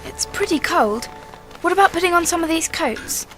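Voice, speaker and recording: A woman's voice: very high voice, lively intonation, moderate pace, a bit bright, faint music behind. A woman playing a sensible, cautious schoolgirl older sister with a British accent in a radio drama. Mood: practical.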